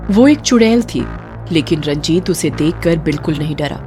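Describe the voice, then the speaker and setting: A woman's voice: low-pitched, lively intonation, normal speed, darkish tone, soft background music. A woman narrating a scripted horror story in a dramatic storytelling style.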